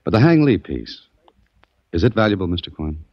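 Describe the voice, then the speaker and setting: A man's voice: low voice, sing-song, a little fast, husky, dry recording, heavily acted. An actor playing a curious, wry male adventurer who also narrates, casual and probing, in 1940s radio style. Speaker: narrator and lead. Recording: dull dry old radio recording.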